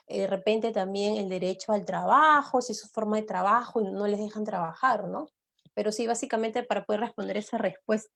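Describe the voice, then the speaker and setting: A woman from Peru speaks with a Peruvian accent as a guest lecturer. Her voice is fairly high and carries a gravelly fry. She explains patiently and keeps reminding listeners of the points she has made. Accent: Peruvian Spanish. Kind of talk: online lecture answering questions.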